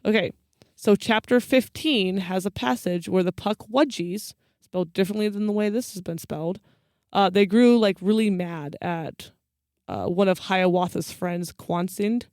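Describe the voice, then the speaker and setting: A woman's voice: mid-range voice, normal speed, darkish tone, no echo, clean studio sound. A woman co-hosting a podcast, telling folklore in a casual, teasing, storytelling way. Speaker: podcast co-host. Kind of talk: casual banter about folklore.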